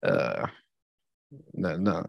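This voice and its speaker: Brief: Argentinian male guest, Spanish native, somewhat low voice, halting answers, dry close sound. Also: big pitch swings, vocal fry, slightly rough, no echo, dull audio. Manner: hesitant, lots of "uh, I mean", laughs often. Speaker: guest, metal vocalist. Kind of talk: casual interview answers.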